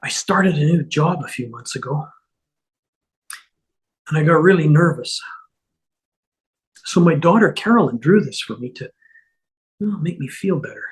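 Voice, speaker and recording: A man playing a mild, grateful father in a comedy table read, gentle and halting. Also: a little high, animated. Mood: grateful, sincere.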